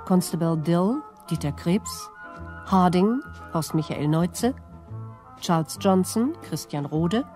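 Brisk, low-voiced woman announcer. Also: animated, darkish tone, faint music behind. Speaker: radio announcer. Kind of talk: scripted cast credits announcement.

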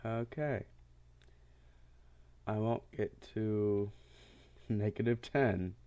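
A man casually commentating a game, congested, laughing often and yelling when he fails. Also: lowish voice, very slow. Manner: laughs often, yells at failures. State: congested, stuffy-sounding. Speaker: gameplay commentator. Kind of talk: casual let's-play commentary.

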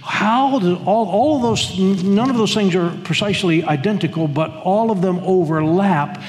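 Older male pastor, higher voice, earnest repetitive sermon delivery, long church-hall reverb. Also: normal speed. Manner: earnest, repeats words and phrases, leads congregation reading. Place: church sanctuary.

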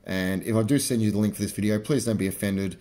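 A man in his thirties with an Australian accent, chatting casually to camera about fishing lures, relaxed with frequent fillers. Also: lowish voice, fast. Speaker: fishing vlogger. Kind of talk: casual explanatory talk to camera. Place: indoors by a garage door.

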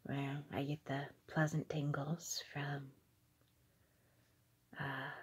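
A young adult woman giving a whispered, halting ASMR monologue in a breathy voice, recorded indoors on a phone held at arm's length. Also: sits low, little pitch movement. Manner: slow, hesitant, halting. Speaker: ASMR video creator. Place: small indoor room with curtains.

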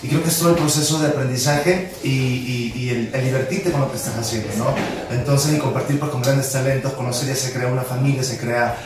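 A man's voice: medium pitch, steady tone, brisk, few pauses, distant mic. Earnest man in a very echoey room. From Ecuador. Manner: earnest, tends to list and repeat words. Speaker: musical theatre actor. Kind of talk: casual interview chat. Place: office room with glass wall, seated on chairs. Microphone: far camera mic.

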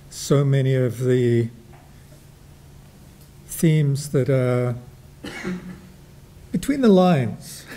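An older man with a New Zealand accent speaks in an unhurried, reflective way, dropping in wry asides. His voice is very dark and mellow, and he talks at a slow pace while moderating a panel in a gallery room. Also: mid-range voice. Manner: slow, reflective, with dry humor and fillers. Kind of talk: panel discussion remarks.

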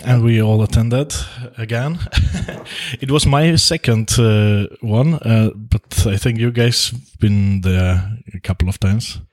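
A man's voice: lowish voice, takes it slowly, darkish tone. A man with a German accent hosting a podcast, chatting casually and often restarting his words. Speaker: podcast host. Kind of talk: casual chat. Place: small office room indoors.

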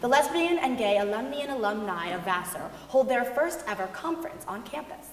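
Young female stage reader, animated high voice, reverberant hall with faint noise. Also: brisk. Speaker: reader in a dramatic reading. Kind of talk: staged dramatic reading. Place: large concert hall stage. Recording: echoey, noisy concert hall, long reverb.

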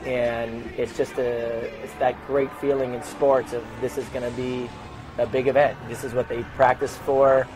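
A middle-aged American man with a medium-pitched voice speaks in a conversational interview style. He tells anecdotes and walks through his announcing work step by step, voicing quoted exchanges as he goes. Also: level tone, moderate pace, poor audio. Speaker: sports public address announcer being interviewed. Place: open indoor lobby studio at a desk.

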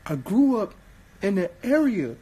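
Hoarse, husky man speaking slowly and earnestly. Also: high-pitched, big pitch swings, dark tone. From Watts, California. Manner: earnest, repetitive, building to raised voice. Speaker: vlogger. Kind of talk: casual selfie monologue. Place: small indoor room. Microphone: phone at arm's length.

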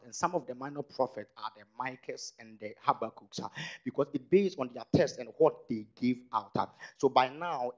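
A man preaching on stage into a handheld mic, calling out to the congregation and building to loud exhortation. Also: medium pitch, lo-fi sound. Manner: call-and-response preaching, rising to loud exhortation. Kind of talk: sermon. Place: large stage hall with podium.

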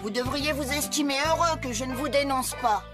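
A woman's voice: sits high, brisk, natural voice. A woman voicing a young talking tractor in a children's cartoon, good-natured but easily flustered, with a plaintive, grumbly delivery. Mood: put-upon, grumbling. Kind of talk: children's cartoon dub acting. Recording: loud music bed behind.

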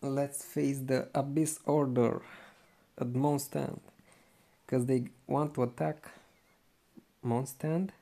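A man's voice: mid-range voice, fairly even intonation, slow, creaky, long pauses, dark tone. A man giving casual, laid-back game commentary in short reactions. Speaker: gamer commentating on gameplay.

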